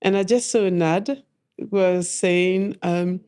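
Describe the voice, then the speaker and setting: A woman, a coffee trainer chatting as an interview guest, laughing often and filling pauses with "uh". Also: fairly low pitch, mellow, clean tone. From France. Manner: chatty, laughs often, many fillers and restarts. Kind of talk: casual interview chat.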